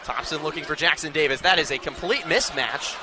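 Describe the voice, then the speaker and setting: A man's voice: fairly high pitch, fairly quick. An American man calling live basketball play-by-play for television with emphatic game calls. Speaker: play-by-play announcer. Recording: noisy arena with loud crowd. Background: crowd noise.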